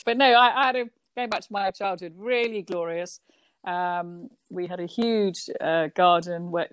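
A middle-aged woman from Kenya, a guest telling childhood stories in a relaxed, lively interview with frequent laughs. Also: on the low side, no room sound. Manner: lively, laughs often, many restarts. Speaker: guest, company founder. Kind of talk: casual conversational interview.

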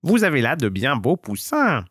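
A man's voice: medium pitch, wildly swooping pitch, moderate pace, fairly bright tone, slightly rough, no echo, clean studio sound, pitched above the performer's own voice, heavily acted. A man voicing a sly, dishonest jackal with oily, mock-courteous manners in a children's tale. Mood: falsely polite. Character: sly, dishonest male jackal, falsely polite.

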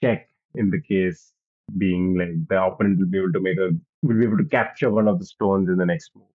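Podcast co-host, man, lowish voice, tinny phone-like sound, patient hint-giver. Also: fairly even intonation, brisk. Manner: patient hint-giving with frequent laughs. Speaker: co-host, quizmaster. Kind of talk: casual quiz chat.

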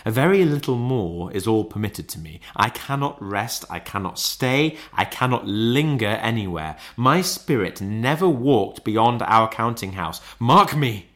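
A man's voice: fairly low pitch, expressive, fairly bright tone. A man voicing a mournful, remorseful old male ghost in a storybook, with a solemn, lamenting and declamatory delivery. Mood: grave, weary. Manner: declamatory, lamenting, drawn-out emphasis. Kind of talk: dramatised classic ghost story.

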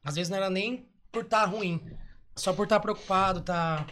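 Man, high-pitched voice, male singer, casual interview chat, relaxed slang. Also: normal speed, slight background noise. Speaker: singer in a duo.

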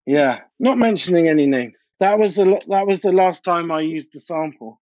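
A man's voice: on the high side, moderate pace, a little mellow, dry recording, highs cut off. A man, a DJ and producer, chatting casually in an interview and repeating himself for emphasis. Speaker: DJ and music producer being interviewed. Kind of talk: casual interview chat, anecdotal.

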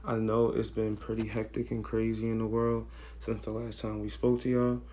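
A hoarse young man with a raspy voice, co-hosting a podcast in slangy casual chat. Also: on the low side, moderate pace, background noise. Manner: relaxed, slangy, thinks aloud with fillers. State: hoarse, losing his voice. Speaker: podcast co-host.

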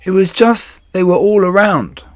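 A man's voice: sits high, lively intonation, a little slow, pitched above the performer's own voice. A man voicing a whiny, pestering schoolboy in a children's storybook. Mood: sheepish. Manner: insistent, repetitive questioning. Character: young schoolboy, whiny and pestering little brother.

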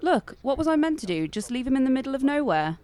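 A woman's voice: sits high, sing-song, quick pace, a little mellow. A young woman playing a concerned, defensive nineteen-year-old in a fan-made audio drama.

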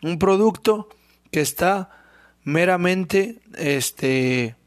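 A young man with a Mexican accent hosting a casual solo podcast, hoarse from a sore throat, rambling and swearing freely and clearing his throat often. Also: sits high, animated, very slow, no room sound, clean studio sound. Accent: Mexican Spanish. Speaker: podcast host.

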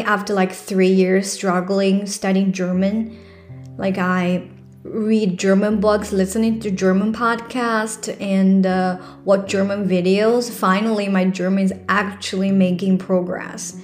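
A woman's voice: medium pitch, steady tone, unhurried, faint music behind. A young woman from China with a Chinese accent hosts her own podcast in a casual, earnest way, full of fillers and restarts. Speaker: podcast host. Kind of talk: casual solo podcast talk.

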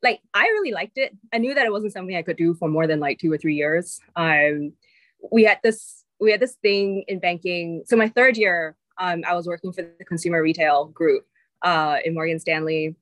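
Lowish singapore-american accented woman, chatty guest, lots of um and like, dry close sound. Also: moderate pace, a bit bright, no room sound. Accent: mix of Singaporean and American English. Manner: chatty, many fillers like um and like. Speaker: venture capital partner, guest. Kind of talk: casual interview chat.

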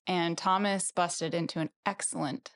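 An upbeat woman hosts a casual podcast and keeps the chat moving. Her voice sits a little on the low side. She sounds friendly and at ease. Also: steady tone, moderate pace, a bit bright. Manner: upbeat, leads the conversation. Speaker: podcast host.

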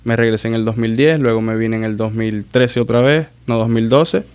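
Smooth-voiced man telling stories. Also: medium pitch, darkish tone. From Maracaibo, Venezuela. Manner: chatty storyteller, quick self-corrections. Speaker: guest, muralist. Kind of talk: casual interview chat.

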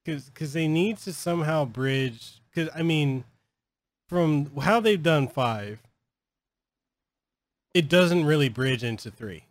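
A man's voice: a little high, slow, pauses often, no echo. A man hosting a casual interview, giving short agreeing replies and laughing along. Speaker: interview host.

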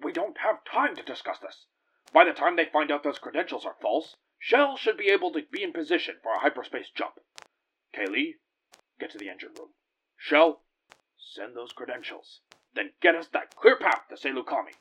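A man's voice: on the high side, very animated, normal speed, a bit bright, slightly rough, heavily acted, low-quality recording. A man playing a bold, gallant space captain and vigilante hero in an audio drama, speaking in decisive, heroic commands. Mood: urgent, planning.

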